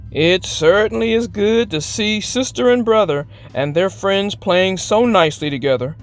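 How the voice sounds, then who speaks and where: A woman's voice: mid-range voice, normal speed, soft background music, voice pushed down, close to an everyday voice. A woman voicing an easygoing, proud father bear from a picture book, hearty and emphatic. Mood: pleased.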